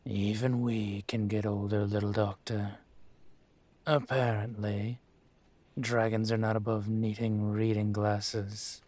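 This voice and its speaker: A man playing an aging dragon mafia boss in a roleplay audio drama, speaking in a smooth, deliberate, courtly manner with veiled menace. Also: on the low side, flat delivery, darkish tone. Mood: suave, courteous. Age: older.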